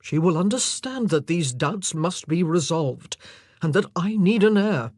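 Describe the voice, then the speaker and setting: A narrator voicing an anguished, impassioned and forceful male monarch in an audiobook drama. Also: mid-range voice, animated, measured pace, no echo, studio quality. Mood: troubled.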